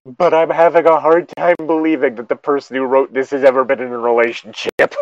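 A man's voice: medium pitch, steady tone, a little fast, no room sound. Exasperated man with a dull, dry sound. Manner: exasperated, profane. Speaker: co-host reading and mocking internet horror fiction. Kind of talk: casual joking commentary with read-aloud passages.

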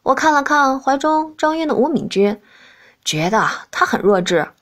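A woman narrates an audiobook in a scripted, first-person storytelling style. Her voice sits a little higher than average for a woman. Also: very animated, normal speed, fairly bright tone.

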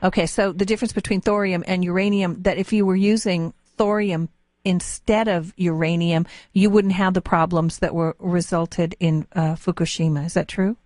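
A woman's voice: on the low side, steady tone, a little mellow, dry recording. American woman radio host, close dry sound. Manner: chatty, halting, rephrases questions as she goes. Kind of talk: informal radio interview.

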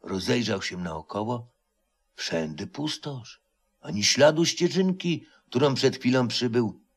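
A man voicing a grey-haired old highlander who solemnly tells a folk legend in an audio storybook. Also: animated, measured pace. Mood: solemn, stirring.

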